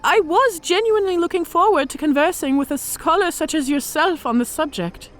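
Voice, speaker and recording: A woman's voice: very high-pitched, a little fast, soft background music. A woman playing a smug female orc centurion in an audio drama, speaking with mock-sweet politeness and cool menace. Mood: smooth flattery.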